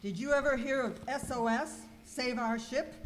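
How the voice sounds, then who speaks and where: A woman's voice: sits high, normal speed, close to an everyday voice. A woman playing a confident, wisecracking cleaning superhero in an amateur comic radio skit, declaiming in mock-heroic style. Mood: defiant. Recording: clearly noisy live room recording.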